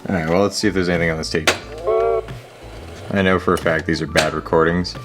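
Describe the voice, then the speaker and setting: Low-pitched man, jokey hands-on vlogger. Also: steady tone, fast, over loud music. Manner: jokey, sarcastic, talks to the objects. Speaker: host of a hobby repair video. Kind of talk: casual hands-on vlog commentary. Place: home garage.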